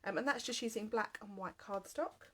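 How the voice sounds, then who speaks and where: A woman in her thirties, nursing a heavy cold, teaches a live crafting class from her home. She sounds chatty and upbeat, with frequent little "um"s. She speaks at an ordinary mid pitch. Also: moderate pace, pushed and bright. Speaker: craft demonstrator. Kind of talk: live card-making class. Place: home craft room with shelves and windows. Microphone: webcam at desk, then overhead camera.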